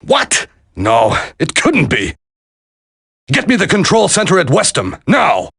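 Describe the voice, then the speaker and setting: An irascible senior official in a video game. His high voice swings widely and rasps with gravel as he blusters and barks curt orders. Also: wildly swooping pitch, slow, a bit bright, no echo, clean studio sound, full character voice. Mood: shocked, urgent. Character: irascible senior government secretary, commanding and blustering.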